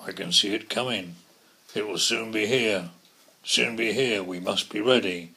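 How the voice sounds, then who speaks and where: A man voicing a watchful farm donkey in a storybook, calling out loudly and urgently. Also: fairly low pitch, a little slow, gravelly, breathy edge, heavily acted. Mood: urgent alarm. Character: loud watchful braying farm donkey on guard.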